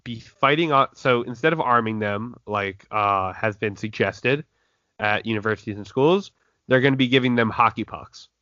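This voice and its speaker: A man's voice: mid-range voice, normal speed, sharp tone, no room sound. A young man hosting a casual podcast chat, reading out news stories and laughing easily. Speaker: podcast host. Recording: dull lo-fi dry recording.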